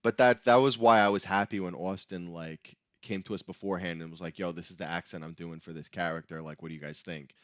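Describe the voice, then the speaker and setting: A laid-back man with a fairly low voice chats loosely about tabletop games, laughing often. The sound is dry and close, with no room around it. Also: fairly even intonation, fast, hardly any pauses, fairly bright tone, dry recording. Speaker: podcast host. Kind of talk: casual chat.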